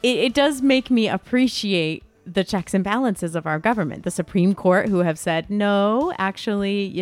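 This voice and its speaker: A woman co-hosting a podcast, chatting casually and comedically in an animated, expressive way. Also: on the low side. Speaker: podcast co-host.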